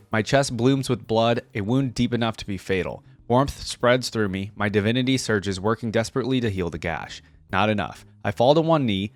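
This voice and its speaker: A man's voice: fairly low pitch, no echo. A man hosting a gaming reaction channel, commenting and reading aloud in a close, dry recording. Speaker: YouTube channel host reacting to game lore.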